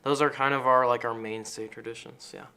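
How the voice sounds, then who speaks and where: A man in his twenties answering interview questions in a relaxed, matter-of-fact way. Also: mid-range voice, fairly quick, clear, resonant tone. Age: 20s.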